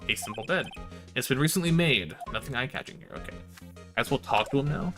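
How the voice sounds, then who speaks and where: A man's voice: mid-range voice, expressive, a little fast, pushed and bright. A male game streamer with a mid-range voice talks casually through a playthrough. He reacts informally and swears freely, with faint music playing behind him. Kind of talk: casual livestream playthrough commentary.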